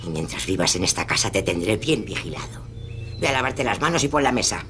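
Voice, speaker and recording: Una actriz que interpreta, en un radioteatro, a una abuela anciana, dura, regañona y dominante, con acento de España. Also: female voice, very deep voice, lively intonation, a little fast, slight background noise. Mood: scolding, bitter. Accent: Castilian Spanish. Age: older. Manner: scolding, nagging, sharp-tongued. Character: harsh, scolding, domineering old grandmother. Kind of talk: audio drama acting.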